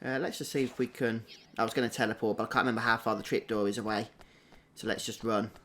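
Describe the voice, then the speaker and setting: A man's voice: lowish voice, steady tone, fast, sharp tone. Man chatting over gameplay, some background noise. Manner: chatty, thinking aloud. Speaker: game streamer doing a walkthrough. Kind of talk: casual gameplay commentary.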